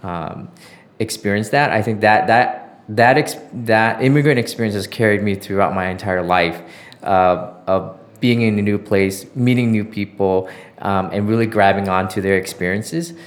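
A man's voice: lowish voice, normal speed. A middle-aged American man telling stories as an interview guest, reflective and often searching for words. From Southern California. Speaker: interview guest, former journalist. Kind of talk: conversational interview storytelling.

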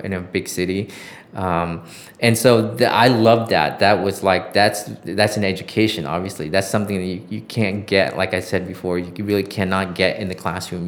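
A middle-aged American man telling stories as an interview guest, reflective and often searching for words. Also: lowish voice. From Southern California. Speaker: interview guest, former journalist. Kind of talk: conversational interview storytelling.

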